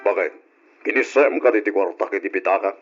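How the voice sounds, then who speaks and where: Stern father, husky voice, medium pitch, reproachful, radio drama, muffled recording. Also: brisk, soft background music. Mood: angry accusing. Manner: reproachful, emphatic. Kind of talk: old-style Ilocano radio drama acting.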